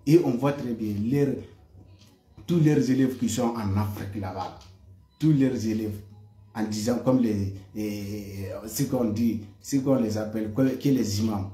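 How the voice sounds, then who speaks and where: A man's voice: lowish voice, measured pace, warm tone, soft background music. Man, from West Africa, online commentator, heated monologue, stammering repeats, echoey room. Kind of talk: impassioned monologue to viewers.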